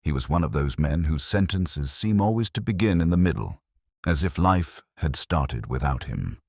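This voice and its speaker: A man reading a scripted first-person literary detective story in a measured, melancholy, reflective manner. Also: a bass voice, lively intonation, gravelly, no echo, studio quality.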